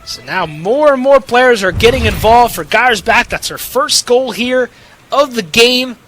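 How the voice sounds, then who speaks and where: A man's voice: extremely high pitch, animated, measured pace, fairly bright tone, a little hiss or hum. A young man calling play-by-play sports commentary as an announcer. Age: young adult.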